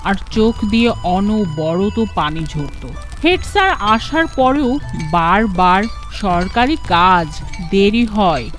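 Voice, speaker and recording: A woman playing a wistful grown-up narrator recalling a hard childhood in an animated story. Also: medium pitch.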